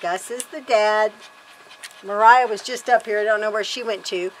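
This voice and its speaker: A woman's voice: mid-range voice, steady tone, normal speed, slight background noise, poor audio. A woman in her fifties chatting casually to camera outdoors on a wooden deck, rambling and correcting herself as she talks. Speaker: homesteader vlog host.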